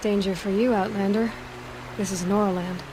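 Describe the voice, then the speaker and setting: A woman's voice: medium pitch, steady tone, moderate pace, lightly acted. Direct young huntress voiced by a woman, noisy ambience. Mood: firm, probing. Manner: direct, probing. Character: direct, self-assured young female hunter. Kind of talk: video game dramatic acting.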